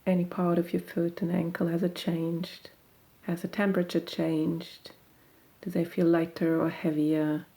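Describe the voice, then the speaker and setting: A woman guiding a meditation in a gentle, unhurried, soothing manner, leaving long pauses between phrases. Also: lowish voice, flat delivery, vocal fry. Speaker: meditation guide. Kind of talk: guided meditation.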